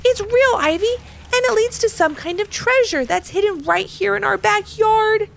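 An excited little boy from a children's storybook, acted out by a woman. The pitch is high and swings widely. He sounds eager and boastful, exclaiming with enthusiasm. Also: normal speed, full character voice.

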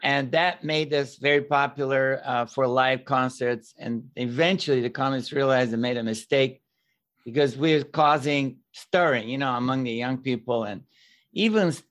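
An older man from Bulgaria with a Bulgarian accent, a guest minister telling his story as a lively storyteller with dry humor and a few grammar slips. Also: mid-range voice, moderate pace. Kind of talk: interview storytelling.

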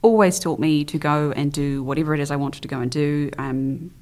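Guest: young woman, low voice, chatty, hesitant with ums, from the Isle of Man. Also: steady tone, fairly quick, darkish tone. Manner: chatty, hesitant, with frequent ums and trailing phrases. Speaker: interview guest. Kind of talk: casual conversational interview.

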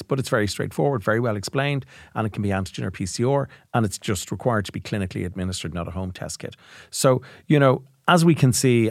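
A man hosts a casual business podcast, explaining things in an upbeat, informative way and often saying "you know". His voice is on the low side, and the recording is dry and close. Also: no room sound. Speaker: podcast host, company chief executive.

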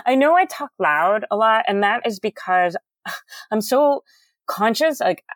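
A woman guest in a candid interview, speaking loudly and enunciating carefully, earnest and animated with many fillers. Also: a little high, unhurried, no echo. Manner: loud, carefully enunciated speech.

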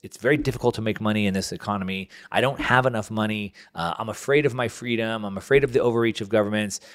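An American man hosting his podcast solo, talking in a conversational, earnest way with fillers and restarts. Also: fairly low pitch. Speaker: podcast host. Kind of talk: casual monologue, solo show.

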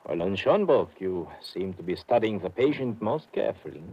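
An actor playing an anxious, conspiratorial sanatorium doctor, hesitant and questioning, in a 1950s radio drama. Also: male voice, lowish voice, sing-song, fairly quick, slightly rough, dull audio, full character voice. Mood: cautious. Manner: hesitant, ends phrases with questioning "eh". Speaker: supporting actor. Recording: noisy old radio broadcast.

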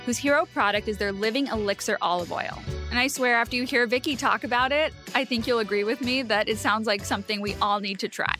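A woman's voice: fairly high pitch, quick pace, hardly any pauses, sharp tone, soft background music. A woman hosting a podcast, reading an enthusiastic scripted intro and then chatting eagerly with her guest. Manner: gushing, enthusiastic. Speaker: podcast host.

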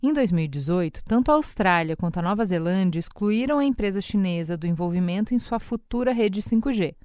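A Brazilian woman co-hosting a podcast, narrating news background in a measured, explanatory way. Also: medium pitch, animated, a little mellow, clear, resonant tone, no echo, clean studio sound. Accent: Brazilian Portuguese. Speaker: podcast co-host.

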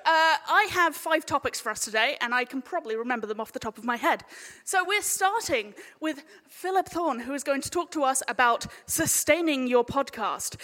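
Female live host, sharp bright tone, irreverent and jokey, excitable delivery, long hall reverb. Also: high voice. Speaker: panel chair. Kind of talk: informal, jokey live panel hosting.

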